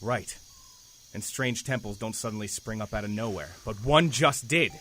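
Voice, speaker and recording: An actor playing a stern, dry-witted superhero team leader who gives clipped orders in an audio drama. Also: male voice, fairly low pitch, moderate pace, bright, forceful tone, a little hiss or hum. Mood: dry, commanding.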